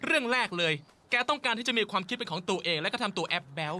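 A man's voice: high voice, expressive, very fast, bright, forceful tone, exaggerated voice. A man voicing a sarcastic, impatient father bird in a cartoon dub. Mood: ranting. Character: grumbling bossy father bird.

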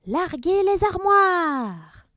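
A woman voicing a cruel male pirate captain in a children's story, shouting insults at his crew. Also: lowish voice, expressive, normal speed, no room sound, studio quality, close to an everyday voice. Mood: bellowing.

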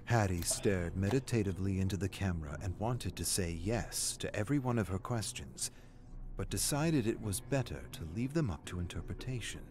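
A man's voice: sits low, animated, normal speed. A man reading scripted, dramatic story narration as the narrator. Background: music.

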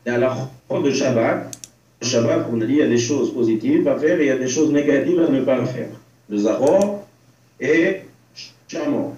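A man's voice: mid-range voice, animated, normal speed, a little mellow. A man, a rabbi giving an informal online religion lesson, hesitant, repeating himself and checking he is understood. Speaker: rabbi teaching a religious class. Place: remote video call. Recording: dull, roomy, distant online-call sound.

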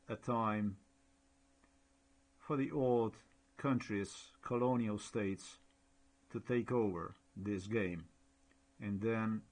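Slovenian man, low voice, halting non-native English, screen-share commentary. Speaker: blogger.

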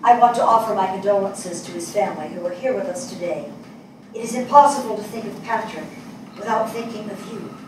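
An older woman playing a thoughtful, compassionate writer in a staged dramatic reading, measured and earnest. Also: medium pitch, a little mellow, recorded from a distance, close to an everyday voice. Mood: solemn tribute. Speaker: actor in a staged play reading. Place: small indoor theatre stage before an audience. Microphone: distant camera mic. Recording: echoey stage picked up from afar, noisy.

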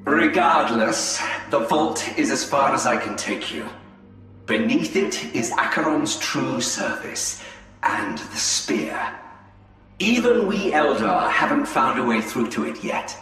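An actor playing a sly, treacherous alien elf spymaster in a video game, speaking in a measured, silky, mocking voice. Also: male voice, medium pitch, very animated, fairly bright tone. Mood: silky, flattering. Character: sly, treacherous, smooth-tongued male Eldar spymaster. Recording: cavernous echo, long reverb, music behind.

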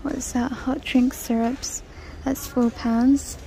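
A woman's voice: high-pitched, flat delivery, takes it slowly, vocal fry, dark tone, a little hiss or hum, rough recording. A woman vlogging a shop walk-through, chatting casually about items and reading out prices in a large store. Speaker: shopping vlogger. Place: large indoor discount store. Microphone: handheld phone camera.

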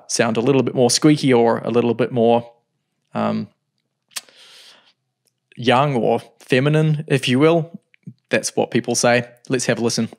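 A man in his thirties teaching music software in a home studio, explaining calmly with frequent ums. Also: mid-range voice, gravelly. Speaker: music production tutor. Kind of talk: screen-recorded software tutorial.

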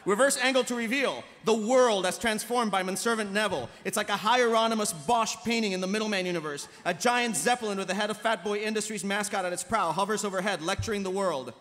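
A man's voice: high voice, quick pace, few pauses, fairly bright tone. A man reading stage directions as narrator of a live script table read. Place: panel table in large convention hall. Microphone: table microphone. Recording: echoey convention hall.

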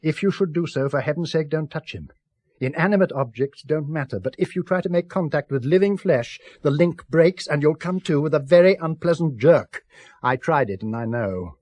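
An assured, secretive British scientist in a seventies radio play. His voice is slightly husky and very animated, with articulate, earnest, insistent delivery. The recording is dry and close. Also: fairly high pitch, normal speed, dry recording. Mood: instructive, insistent. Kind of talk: 1970s British radio drama acting.

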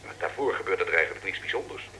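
An actor playing an eager, excitable field reporter in a radio drama, confiding down a telephone line. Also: male voice, low-pitched, fast talker, a little hiss or hum, full character voice. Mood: excited.

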